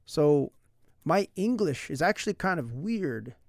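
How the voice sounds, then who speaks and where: A man's voice: fairly high pitch, very animated, unhurried, gravelly, no room sound, studio quality. A young man from San Diego, United States, streaming casually about language learning, rambling with lots of "like" and "right?". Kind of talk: casual livestream chat. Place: indoors at night.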